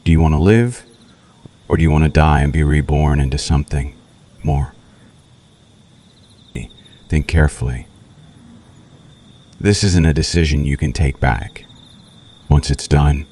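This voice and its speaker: Male actor as a vampire, extremely low voice, unhurried, menacing, seductive, brooding, dramatic pauses, ASMR roleplay. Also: a little mellow, heavily acted. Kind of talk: slow ASMR roleplay monologue.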